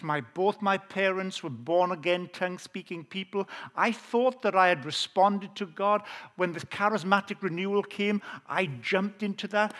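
High-voiced Welsh preacher, earnest. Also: male voice, a bit bright. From South Wales. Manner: earnest storytelling, slowing down on key phrases. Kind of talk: testimony sermon.

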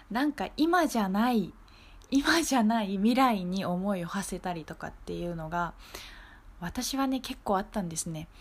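A woman hosting a radio show, talking casually and reflectively in a gentle, polite, thoughtful way. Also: medium pitch. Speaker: radio show host and singer-songwriter.

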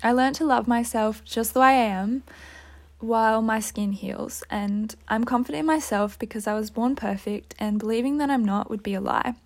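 Earnest young woman, slightly high. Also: moderate pace. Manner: earnest, upbeat, with light laughs. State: dry throat, losing voice. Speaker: podcast host. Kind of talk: solo podcast monologue.

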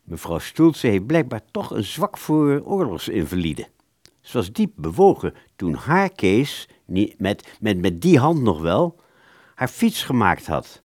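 A smug, roguish musician in a radio play, with a deep voice that swings widely in pitch. He draws words out theatrically, recorded dry. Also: wildly swooping pitch, unhurried, darkish tone, dry recording, full character voice. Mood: bantering. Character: roguish, self-satisfied male clarinettist recounting his exploits. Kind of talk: radio play storytelling in the first person.

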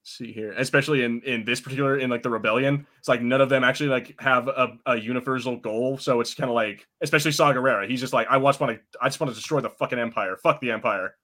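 A man's voice: medium pitch, quick pace, hardly any pauses, bright, forceful tone. A man co-hosting a podcast, chatting casually with frequent swearing and hesitant restarts. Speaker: podcast co-host. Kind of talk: casual chat.

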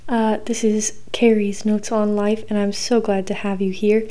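A young woman with a hoarse, worn-out voice, hosting a podcast in a rambling, chatty way full of fillers. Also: medium pitch, little pitch movement, normal speed, warm tone. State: hoarse, recovering from losing her voice. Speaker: podcast host. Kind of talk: casual solo podcast chat.

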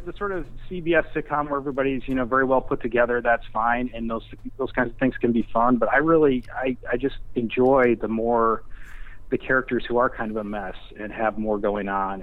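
A man speaking as a guest screenwriter in a casual interview, halting and self-effacing. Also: mid-range voice, no echo. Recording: muffled, tinny phone-like line.

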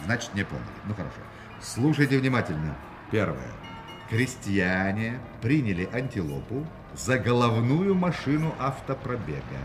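A man's voice: fairly low pitch, big pitch swings, normal speed. An actor playing a grandiose, mocking con man who leads a gang, speaking in a pompous, ironic, oratorical way in a radio play. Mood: mocking, commanding. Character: grandiose, mocking male swindler leading a gang. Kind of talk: Russian radio play acting. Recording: radio drama with music behind.

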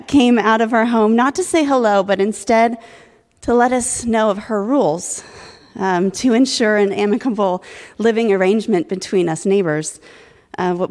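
A woman from the congregation telling a personal story, calm and a little halting, with fillers. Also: medium pitch. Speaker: congregation member sharing a story. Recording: long hall reverb.

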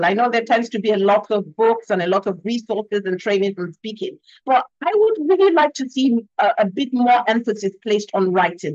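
A woman's voice: medium pitch, a little mellow, dry recording. A woman from Nigeria with a Nigerian accent, a communication expert explaining her ideas earnestly on a video call, often tagging "yeah?" onto her points. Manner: earnest, emphatic, frequent "yeah?" tags. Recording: dull, slightly lo-fi dry sound.

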